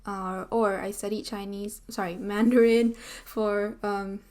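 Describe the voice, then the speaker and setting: A woman in her twenties with a Malaysian accent, chatting casually to camera in an animated way, full of "like" and "you know". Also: mid-range voice, a little slow. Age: 20s. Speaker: vlogger. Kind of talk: casual talking-head vlog. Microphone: camera mic, seated on a chair.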